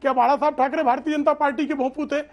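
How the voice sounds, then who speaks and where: A man's voice: extremely high pitch, steady tone, fast talker, highs cut off. A man speaking as a political guest in a TV debate, formal and emphatic, arguing combatively with rhetorical questions.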